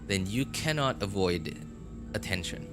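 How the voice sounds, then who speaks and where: A man's voice: low-pitched, expressive, a little slow. A man chatting casually on a gaming livestream, rambling and correcting himself as he goes, with a chuckle now and then. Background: background music.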